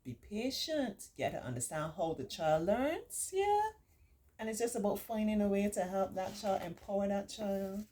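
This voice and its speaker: A middle-aged woman hosting a children's storytime, reading aloud and adding reassuring, encouraging teaching asides with drawn-out emphasis. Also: mid-range voice, sing-song, mellow. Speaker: children's storytime host and remedial tutor.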